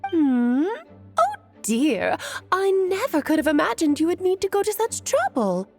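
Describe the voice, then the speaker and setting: A woman's voice: extremely high pitch, sing-song, measured pace, darkish tone, faint music behind, heavily acted. A woman playing a playful, scheming, self-assured mage aunt in a video game, airy and teasing in a theatrical way. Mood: coy, coaxing.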